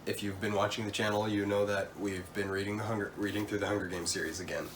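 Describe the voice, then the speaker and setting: A man with a very low voice and a cold talks through a casual book haul, relaxed and a little tongue-tied at times. Steady hiss sits behind him in a home video recording. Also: quick pace, far from the mic. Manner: relaxed, stumbles over words. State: has a cold. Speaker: co-host.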